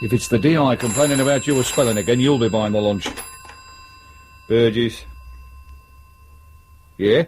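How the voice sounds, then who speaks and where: A man's voice: medium pitch, moderate pace, long pauses, natural voice. Sardonic British detective sergeant played by a male actor, blunt and ambitious, radio drama, faint background noise. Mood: dry, wry. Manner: dry, sarcastic, blunt.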